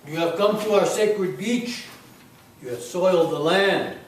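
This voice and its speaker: A man loudly reading a stern, solemn guardian of a sacred beach in a play, in an echoey, noisy room. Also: high voice, measured pace, voice pushed up. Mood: ominous, wrathful. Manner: loud, solemn, declamatory. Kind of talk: script read aloud at a play reading. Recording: echoey room, far from mic, clear noise.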